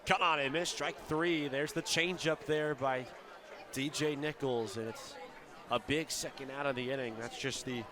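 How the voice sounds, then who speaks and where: A man calling live baseball play-by-play for radio in a fluent, descriptive style that can build to shouts on big plays. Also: medium pitch, steady tone, normal speed. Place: outdoor baseball stadium broadcast position. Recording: live ballpark with crowd noise behind. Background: crowd noise.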